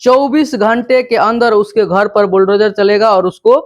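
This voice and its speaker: A man's voice: high voice, fairly even intonation, a little slow, lo-fi sound. A man with a Bihari accent explaining news informally, in an emphatic, repetitive, instructive way. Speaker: presenter of a local news explainer channel.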